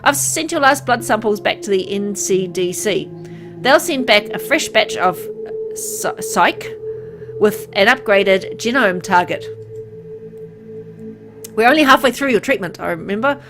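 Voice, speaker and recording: A woman reading aloud as a kind, caring male doctor in a game, speaking gently and earnestly. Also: mid-range voice, lively intonation, unhurried, music in the background. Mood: reassuring.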